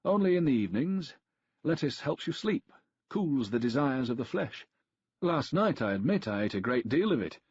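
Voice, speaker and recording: A man voicing a dour, superstitious Roman statesman in his fifties, with a mild Danubian accent and a solemn, measured manner. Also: mid-range voice, very animated, darkish tone, husky, no room sound, lo-fi sound, voice pushed up. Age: 50s.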